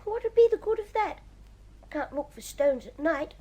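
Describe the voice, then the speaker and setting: Young boy, very high voice, animated, cocky, taunting, radio drama. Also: unhurried. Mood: boastful. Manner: boastful, taunting. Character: cocky, scornful young boy who collects stones.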